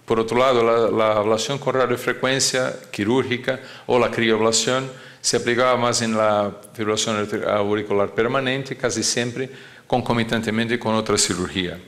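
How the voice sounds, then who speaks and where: A man who is not a native Spanish speaker gives a medical lecture, often halting as he searches for words. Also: medium pitch, fairly bright tone. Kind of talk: conference lecture.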